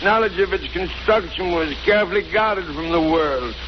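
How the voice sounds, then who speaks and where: A man's voice: sits high, moderate pace, lightly acted. An actor playing a tormented, frightened, guilt-ridden man in a 1930s radio drama, with an anguished, melodramatic delivery. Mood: remorseful. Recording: noisy, muffled 1930s radio recording.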